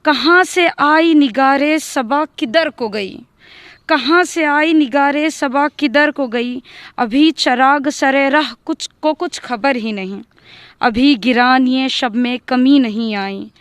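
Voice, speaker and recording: A woman recites poetry in a smooth, resonant voice pitched a little high. She takes her time over each line, measured and deliberate. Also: no room sound. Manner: measured, deliberate, repeating verses.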